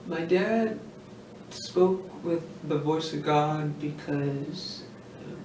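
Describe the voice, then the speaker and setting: A man acting a faltering son who haltingly and reluctantly attempts a speech about his father in a monologue. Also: fairly high pitch. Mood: uneasy, subdued. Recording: echoey room, distant mic, faint hiss.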